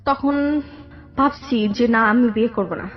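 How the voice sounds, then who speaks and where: A young woman with a Bangladeshi accent telling a personal story conversationally, haltingly, often circling back over details. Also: fairly high pitch, dull audio. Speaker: guest telling her life story.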